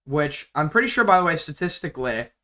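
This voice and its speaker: A man hosts a casual livestream and argues bluntly with callers, throwing in sarcastic jabs. His voice is bright and forward and sits a little high for a man. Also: sing-song, quick pace. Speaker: livestream host. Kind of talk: casual livestream commentary and call-in debate.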